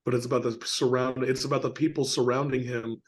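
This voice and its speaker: A man with a medium-pitched voice speaks as a co-host in a casual film discussion. His delivery is halting, with pauses as he looks for the right word. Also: little pitch movement, breathy edge. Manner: halting, searching for words. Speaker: podcast co-host.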